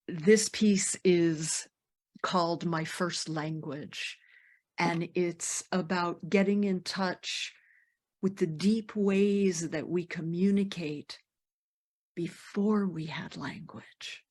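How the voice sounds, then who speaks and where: A woman who leads poetry workshops, presenting her work at a round-table and often dropping into soft near-whispers. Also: on the low side, steady tone. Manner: slow, gentle, drops to near whispers.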